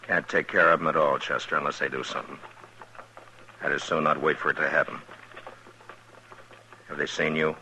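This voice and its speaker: An actor playing a calm, laconic frontier marshal who narrates and speaks in a measured, firm way, in a 1950s radio western. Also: male voice, extremely low pitch, vocal fry, a little hiss or hum, heavily acted, low-quality recording. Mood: steady.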